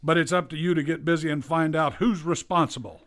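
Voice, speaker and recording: A man's voice: fairly high pitch, brisk, fairly bright tone, natural voice. A man playing a blustering city mayor. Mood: demanding. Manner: demanding and impatient. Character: stern, blustering middle-aged male city mayor. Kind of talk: radio drama acting.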